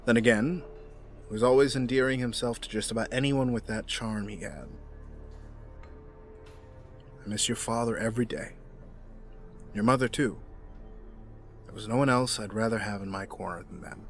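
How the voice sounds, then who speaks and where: An actor playing a middle-aged businessman villain in an audio drama, formal, measured and condescending. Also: on the low side, faint music behind, close to an everyday voice. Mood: nostalgic, genial.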